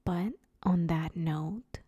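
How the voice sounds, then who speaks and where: A woman's voice: fairly low pitch, drawn-out words, mellow, husky, no echo, studio quality, full character voice. A woman playing a broke, sheepish but scheming villain in a conversational comedy audio roleplay, wheedling her neighbor in an awkward, hesitant way. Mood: reassuring, conspiratorial.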